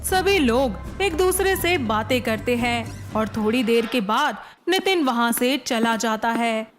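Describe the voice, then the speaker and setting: Female narrator, higher pitch, slow scripted storytelling, moral tale, background music. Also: animated.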